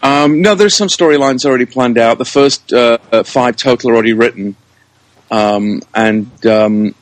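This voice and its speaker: A man talking casually as an interview guest, thinking aloud and pausing as he works out his answers. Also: medium pitch, no room sound. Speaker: interview guest, show creator, writer and director.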